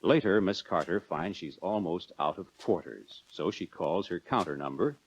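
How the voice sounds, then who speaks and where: An American man narrating a 1940s instructional film in a measured, instructive manner, addressing the viewer directly. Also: low-pitched, slight background noise. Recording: dull lo-fi 1940s film soundtrack.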